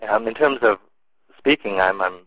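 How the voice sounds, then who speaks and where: A man's voice: lowish voice, moderate pace, fairly bright tone, no room sound, poor audio. A man answering interview questions in a casual, thoughtful way, with many fillers and restarts. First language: English and Cantonese. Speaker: interview guest.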